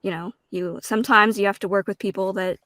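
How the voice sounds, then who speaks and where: A woman speaking as a panel guest in a measured, thoughtful way with pauses to find her words. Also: medium pitch, fairly even intonation, a bit bright, dry recording, clean studio sound. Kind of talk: casual panel talk.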